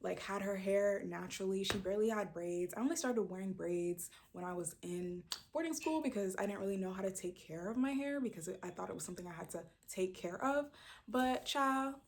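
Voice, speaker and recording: A woman in her early twenties from Brooklyn, New York, talks casually straight to camera. Her speech is chatty and loose, full of fillers, and she swings her pitch up sharply to stress key words. She sounds close to the mic. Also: fairly quick, a little hiss or hum. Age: 20s. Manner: chatty and animated, lots of fillers, sudden loud pitch jumps for emphasis. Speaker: vlogger introducing her channel. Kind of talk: casual direct-to-camera chat.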